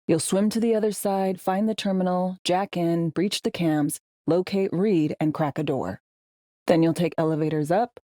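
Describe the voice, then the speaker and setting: A tough, sardonic spy handler from a cyberpunk video game, acted by a woman. Her voice sits fairly low in a very dry studio sound. She talks in clipped slang, bossy and teasing, like someone used to running operations. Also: fairly even intonation, normal speed, mellow, no echo, lightly acted. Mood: brisk, wry briefing. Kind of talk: video game voice acting, briefings and radio guidance.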